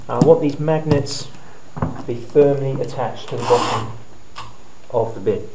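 A man's voice: medium pitch, a little slow. A middle-aged man giving a hands-on tutorial like a teacher, explaining step by step in short, plain phrases. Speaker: physics teacher presenting a how-to video. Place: indoor school science classroom. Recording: clearly noisy room, handling clicks.

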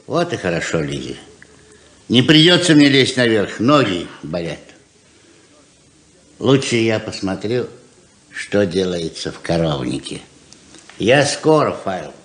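A man's voice: on the low side, sing-song, unhurried, pauses often, fairly bright tone. An actor playing a plain-spoken, hospitable old farmer father in a 1970s radio play. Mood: dry, easygoing. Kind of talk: 1970s Soviet radio theatre acting. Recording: roomy 1970s radio drama recording.

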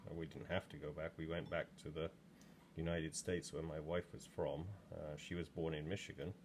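Deep-voiced older english guy rambling on in his pottery studio, bit of room hum behind. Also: level tone, moderate pace, a little mellow. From England. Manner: rambling, chatty asides with many ums. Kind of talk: casual demo talk while working. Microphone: camera over his shoulder.

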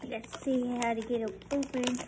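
High-voiced woman vlogging from her couch. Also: flat delivery, normal speed, background noise. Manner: plain, homespun talk. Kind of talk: casual food review vlog. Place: living room at home. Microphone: phone camera at arm's length.

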